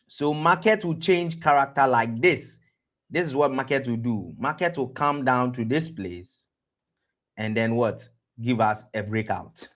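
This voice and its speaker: A man's voice: fairly high pitch, fairly bright tone. West African man teaching a trading lesson. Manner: quizzes listeners with rhetorical "what?" prompts; repeats words and restarts phrases. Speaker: trading educator, stream host. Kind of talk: live-streamed trading lesson.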